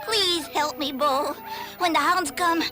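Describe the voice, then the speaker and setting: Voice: sits high, slow pace, over music. A performer voicing a frantic, frightened hare in a cartoon fable, pleading urgently. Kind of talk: animated fable dialogue.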